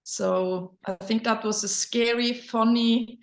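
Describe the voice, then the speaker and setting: A middle-aged woman whose native language is Norwegian, speaking English with a Norwegian accent in casual personal storytelling, sometimes halting as she searches for words. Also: a little high, fairly even intonation. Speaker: interview guest, company leader. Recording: roomy echo.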